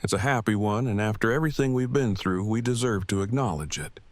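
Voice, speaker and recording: A man playing an earnest, bookish, devoted husband in an audio drama, speaking in formal, wordy, measured phrases. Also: lowish voice, no echo, lightly acted. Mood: tender. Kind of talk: fan audio drama acting.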